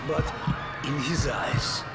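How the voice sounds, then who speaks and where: An actor playing a remorseful Russian ex-soldier, narrating a video game gravely and slowly with a Russian accent. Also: male voice, medium pitch, reverberant, over loud music, over a noisy crowd.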